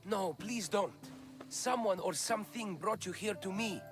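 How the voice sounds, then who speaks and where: An actor playing a wronged, devout slave in ancient Greece, speaking with earnest emotion in a video game. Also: male voice, high voice, measured pace. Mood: grateful, hopeful. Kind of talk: video game cutscene acting. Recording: music behind the voice.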